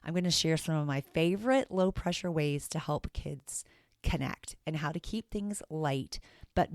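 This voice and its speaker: A low-pitched woman with a raspy edge talks through her teaching podcast in a chatty, self-deprecating way. The sound is dry and close, with no room around it. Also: no echo. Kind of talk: casual solo podcast talk.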